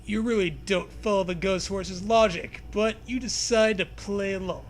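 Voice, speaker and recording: A man's voice: sits high, fairly even intonation, moderate pace, a little hiss or hum. A man co-hosting a comic gamebook reading, with playful, dry banter between narrated passages. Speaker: co-host reading a gamebook aloud.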